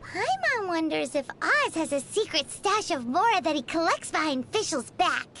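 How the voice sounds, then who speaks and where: A woman voicing a tiny, childlike, excitable and gluttonous girl companion in a video game, with a squeaky voice and exclamatory delivery. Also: moderate pace, slight background noise, full character voice. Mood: curious, cheeky. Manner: speaks of herself in third person, exclamatory. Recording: music behind the voice.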